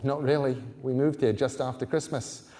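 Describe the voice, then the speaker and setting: A man's voice: medium pitch, steady tone, normal speed, mellow, lightly acted. A man voicing a wary, self-conscious teenage girl from Scotland in an audiobook, guarded and plain-spoken. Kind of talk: audiobook character dialogue.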